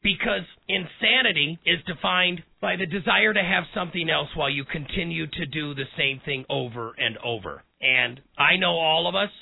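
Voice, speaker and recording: Man, radio host, hoarse, raspy, gravelly, folksy, dry close sound. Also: fairly high pitch, normal speed, hardly any pauses, dry recording. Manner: folksy, direct address to listeners. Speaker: radio talk show host. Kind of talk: casual one-on-one radio talk. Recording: tinny phone-like sound.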